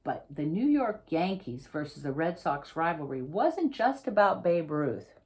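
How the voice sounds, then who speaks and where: A woman's voice: low voice, big pitch swings, unhurried, a little mellow. A woman author reading a picture book aloud, expressively, and explaining details directly to young listeners.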